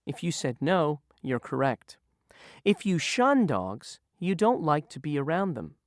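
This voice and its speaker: Lively voice reading review questions. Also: medium pitch, a little slow, dry recording, studio quality. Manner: poses a choice, then confirms the right answer. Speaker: quiz reader. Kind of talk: lesson quiz narration.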